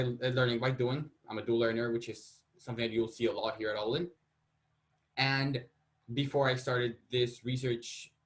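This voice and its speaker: A young man from Colombia, a student presenting his research in a casual, self-deprecating way. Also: medium pitch. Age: young adult. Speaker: student panelist.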